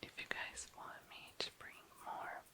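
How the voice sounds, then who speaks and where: Slow, bright whispering ASMR host. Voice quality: breathy whisper. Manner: slow, hushed, unhurried. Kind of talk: whispered ASMR, casual chat to viewers. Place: tabletop indoors.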